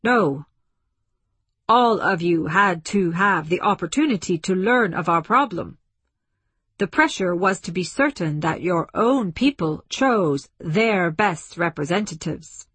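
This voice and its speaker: A woman plays an alien emissary speaking from a spaceship in a science fiction audiobook. The voice is husky and dry, formal and measured, with unbending authority. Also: on the low side, animated, fairly bright tone, no echo, heavily acted. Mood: insistent.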